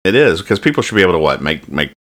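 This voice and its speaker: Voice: low-pitched, big pitch swings, racing. A podcast host chatting casually and jokingly about comic strips, often restarting as thoughts form.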